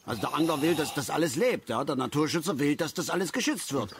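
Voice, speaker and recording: Grumpy, animated middle-aged angler. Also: male voice, a little high, fast talker, a little hiss or hum. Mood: ranting, grumbling. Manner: grumbling, stammering, self-righteous, colloquial. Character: grumpy middle-aged male angler, stubborn, self-pitying husband. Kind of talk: naturalistic German radio play acting.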